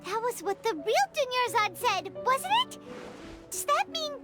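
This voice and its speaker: A woman voicing a small, excitable childlike fairy companion in an anime game, speaking in an exclamatory way. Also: very high-pitched, measured pace, music in the background, exaggerated voice. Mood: puzzled. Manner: exclamatory, speaks of self in third person. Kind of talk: scripted anime game voice acting.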